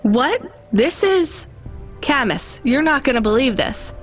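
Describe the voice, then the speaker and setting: A woman's voice: high-pitched, sing-song, takes it slowly, over music, full character voice. An actress playing a weary, caring young woman who works as a delivery driver in a video game. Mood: calm, fond. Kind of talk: naturalistic video game acting.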